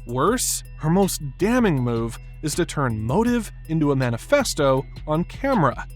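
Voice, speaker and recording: A man's voice: on the high side, very animated, moderate pace, soft background music. A man narrating a scripted film analysis in an emphatic, opinionated manner. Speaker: video essay narrator.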